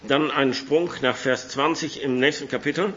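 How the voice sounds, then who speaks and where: A man with a cold preaches in a church hall, his voice hoarse but bright and forceful. He talks casually with the congregation and reads scripture aloud. The sound is a little muffled and lo-fi. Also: mid-range voice, fairly even intonation, normal speed. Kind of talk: sermon with Bible reading.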